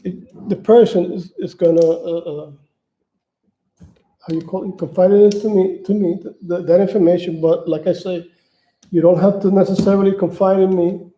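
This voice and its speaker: A man from New York with a high-pitched voice that still sounds very dark and mellow. He talks off the cuff to his viewers, stumbling and repeating words as he searches for his next phrase. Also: steady tone, normal speed, low-quality recording. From Manhattan, New York, United States. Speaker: channel host appealing for donations. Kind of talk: casual unscripted livestream talk.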